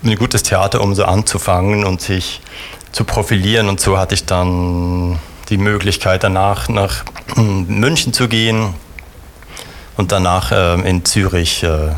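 Theater director, middle-aged man, low-voiced and reflective, halting guest answers. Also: steady tone. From Switzerland. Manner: thoughtful, many hesitations and restarts. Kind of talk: conversational interview answers.

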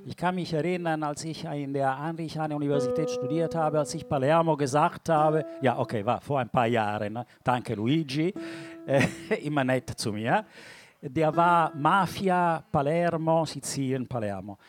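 A man with a Sicilian Italian accent co-hosts a live stage show, effusive and warm in slightly broken German. His voice is a little high for a man. Faint music plays behind him. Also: normal speed. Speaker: co-host.

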